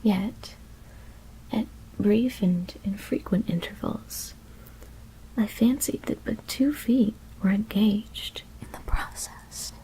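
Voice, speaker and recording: A woman whispering a gothic horror story as ASMR narration, hushed and deliberate, with careful pauses. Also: medium pitch, husky, rough recording.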